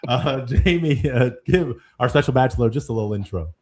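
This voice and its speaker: Male host for a live dating show, playful, husky edge to the voice. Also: mid-range voice, fairly quick. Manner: playful, animated, addresses guests as kind sir.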